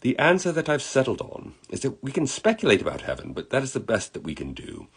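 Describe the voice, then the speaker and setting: A man's voice: medium pitch, huge pitch swings, fast, breathy edge, voice pushed up. A man voicing a courteous, reflective dead Englishman for a story, with a put-on British accent and eloquent, wistful manner. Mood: musing, wondering. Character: genteel, wistful British dead man, friendly spirit in human body.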